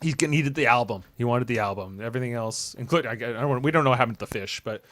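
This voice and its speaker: A man hosting a casual group podcast chat, speaking in a halting, rambling way. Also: mid-range voice, fairly bright tone. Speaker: host of a fan script-reading podcast.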